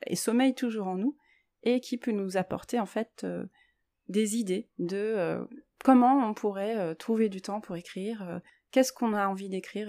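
Mellow-voiced woman, reflective and hesitant. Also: medium pitch. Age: 30s or older. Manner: hesitant, thinking aloud. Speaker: podcast host. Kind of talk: reflective solo podcast talk on writing.

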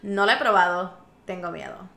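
A woman's voice: on the low side, normal speed, creaky, bright, forceful tone. A Puerto Rican woman hosting a show with casual, opinionated commentary, speaking emphatically and sassily and dropping in English slang. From Puerto Rico. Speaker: host of an episodic show. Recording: roomy, echoey sound.

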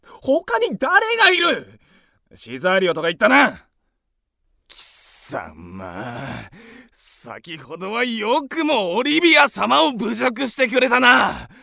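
A pompous coward knight, gravelly n sharp, wild pitch swings, radio play. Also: male voice, wildly swooping pitch, slow, dry recording, clean studio sound, heavily acted. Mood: blustering, indignant. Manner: pompous, bellowing, stammering when cowed. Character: pompous, blustering, cowardly knight and spurned suitor. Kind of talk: radio drama acting.

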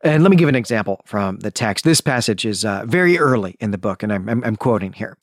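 A man's voice: on the low side, lively intonation, quick pace, no echo, clean studio sound. A man hosting a podcast, giving semi-scripted literary analysis in an emphatic, explanatory way, often checking in with the listener. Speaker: podcast host.

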